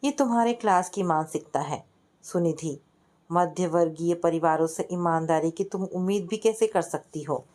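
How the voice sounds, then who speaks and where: A woman's voice: fairly low pitch, normal speed, a little mellow, lightly acted. Young male student, read by a woman: blunt, firm, loyal friend, matter-of-fact delivery in a family story. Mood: curt.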